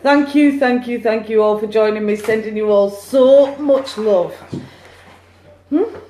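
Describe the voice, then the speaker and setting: A woman's voice: a little high, a little slow. Woman medium on a phone livestream, bubbly and chatty, echoey room. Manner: chatty, excitable, cheering on share counts. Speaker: psychic medium. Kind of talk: live-streamed card reading.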